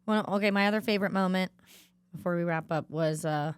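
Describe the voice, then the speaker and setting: A woman's voice: lowish voice, a little fast, clear, resonant tone, dry recording. Young woman, playful, giggly, chatty podcast co-host, dry close mic. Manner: playful, giggly, uses "like" a lot. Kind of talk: casual podcast chat.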